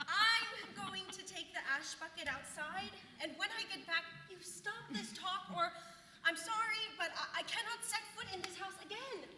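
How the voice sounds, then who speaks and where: A woman's voice: extremely high pitch, normal speed, bright, forceful tone, heavily acted. A woman in a staged play reading, playing a nervous, prim, disapproving seventeenth-century village goodwife who objects in a flustered, hesitant way. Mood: alarmed, scolding. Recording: echoey hall, distant, noisy room tone.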